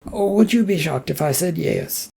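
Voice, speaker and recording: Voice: medium pitch, expressive, measured pace, creaky, mellow, breathy edge, heavily acted. A reader voicing a stressed male manager who stammers and gets flustered.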